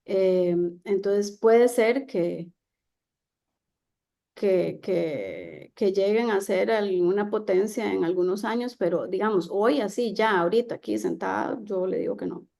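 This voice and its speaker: A woman lecturer answers audience questions, pausing often and restarting her sentences. Her voice has a gravelly fry and a dark, mellow tone. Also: medium pitch, expressive. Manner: hesitant, many fillers and restarts. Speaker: guest speaker on trade. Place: seated, online talk.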